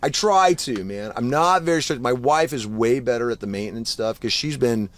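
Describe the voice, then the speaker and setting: A man of about fifty, a guest musician, chatting casually on a podcast and telling animated stories full of swearing. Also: medium pitch, moderate pace. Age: 50s. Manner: profane, animated storytelling.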